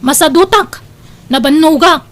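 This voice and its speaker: A woman's voice: high voice, measured pace. A woman playing a spoiled, lazy, bossy daughter in her twenties in an Ilocano-accented audio drama, demanding and whiny. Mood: bossy, whining. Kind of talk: amateur radio-style Ilocano audio drama.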